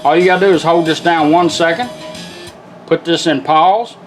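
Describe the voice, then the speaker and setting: A man's voice: a little high, moderate pace, faint music behind. A man giving an informal hands-on product tutorial in a folksy, step-by-step way. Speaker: product demonstrator.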